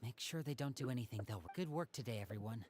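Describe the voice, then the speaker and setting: A man voicing a serious, guarded young man who leads with measured, quiet authority in a video game. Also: medium pitch, expressive, slight background noise, close to an everyday voice. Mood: composed.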